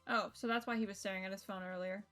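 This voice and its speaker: A woman's voice: mid-range voice, steady tone, fast, a bit bright. A woman streaming a visual novel, reading it aloud expressively and breaking off into casual, reactive asides. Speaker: game streamer. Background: game music.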